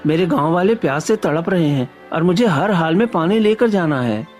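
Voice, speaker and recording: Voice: medium pitch, lively intonation, moderate pace, darkish tone, faint music behind, close to an everyday voice. Earnest, honest young village man, acted out. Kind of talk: acted dialogue in Hindi moral story.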